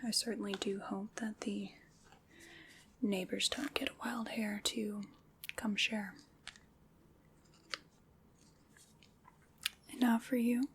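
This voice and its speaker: A woman playing a wry, faintly condescending witch in an ASMR roleplay, whispering softly and musing as she goes. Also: medium pitch, fairly even intonation, a little hiss or hum, lightly acted. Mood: dryly amused. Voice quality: soft, breathy whispering. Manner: unhurried, musing, dryly teasing.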